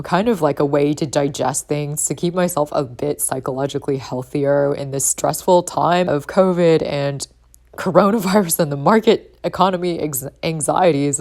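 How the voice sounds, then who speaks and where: A woman in her thirties speaks in a low, husky voice, relaxed and chatty as she vlogs. The sound is dry and close, with no room around her. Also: no room sound. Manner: relaxed and chatty, with light laughs. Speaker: vlogger and trader. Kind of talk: casual vlog narration.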